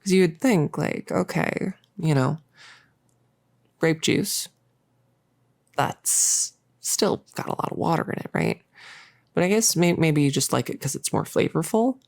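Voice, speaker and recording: A creaky, dry-recorded voice of a young cultist acted by a woman in an intimate audio roleplay. She is nerdy and playful, rambling in halting bursts. She teases and coddles in a casual, doting way. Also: sits low, expressive, a little mellow, no room sound, full character voice. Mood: curious, rambling. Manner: chatty, halting, teasing, coddling.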